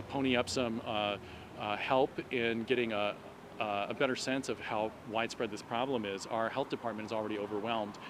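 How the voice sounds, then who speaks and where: A man speaking as a doctor and activist, explaining an issue in measured, articulate phrases during a street interview. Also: mid-range voice. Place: outdoors on an urban street at a protest. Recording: noisy city street with traffic. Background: street and traffic noise.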